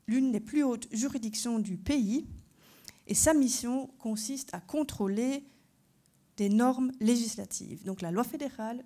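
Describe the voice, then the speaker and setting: A woman's voice: on the high side, dark tone. A woman from Belgium whose first language is German, a constitutional court judge giving a structured conference lecture and putting rhetorical questions to her audience. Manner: structured explanatory delivery, poses rhetorical questions.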